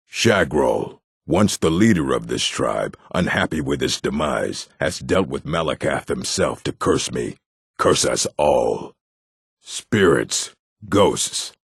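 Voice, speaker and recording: An actor playing a proud, weary orc chieftain in a fantasy video game, with a deep, rough voice and a terse, scornful, commanding manner. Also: male voice, no room sound, studio quality, heavily acted. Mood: burdened, bitter.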